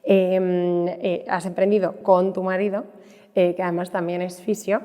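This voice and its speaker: A woman's voice: lowish voice, flat delivery, unhurried, mellow. A woman with a Spanish accent from Spain, hosting a casual, conversational interview as a podcast host. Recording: echoey room with long reverb.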